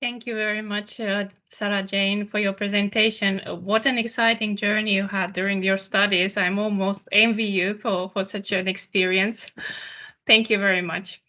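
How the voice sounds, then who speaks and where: A woman's voice: mid-range voice, flat delivery, normal speed, pushed and bright. A woman hosting an online information session in a polite, formal manner, speaking over a video call. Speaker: webinar host. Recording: dull, muffled online call audio.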